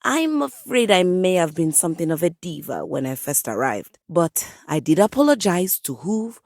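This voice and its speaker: A woman's voice: fairly low pitch, big pitch swings, moderate pace, a little mellow, no echo, studio quality. A woman playing a passionate piano come to life in a video game, a haughty, dramatic composer with florid, formal diction. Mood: warm, grateful. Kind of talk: video game character voice acting.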